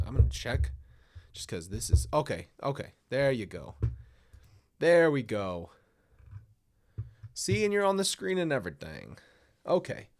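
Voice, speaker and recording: A man hosting a casual livestream, a little nasal from a stuffy nose, rambling and apologetic as he talks to his viewers. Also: medium pitch. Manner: rambling, apologetic, restarts often. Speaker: livestream host reading viewer stories. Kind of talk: casual livestream chat and story reading.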